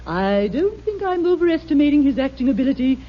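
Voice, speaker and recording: An actress playing a gushing, flighty schoolteacher in a 1950 radio sitcom, fluttery and dotty. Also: female voice, high voice, very animated, fast. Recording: dull old radio broadcast.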